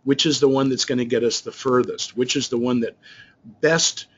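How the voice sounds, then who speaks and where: A man's voice: medium pitch, fairly even intonation, moderate pace, a bit bright, lo-fi sound. A man working as a business trainer, talking through slides in a loose, rambling way with many restarts and fillers. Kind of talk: explaining slides in a recorded webinar.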